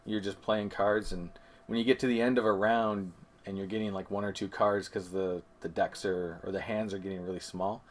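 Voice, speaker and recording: A man's voice: fairly low pitch, fairly even intonation, fairly quick. A man co-hosting a board game review, explaining things methodically in casual conversation and correcting himself as he goes. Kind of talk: casual comparison chat.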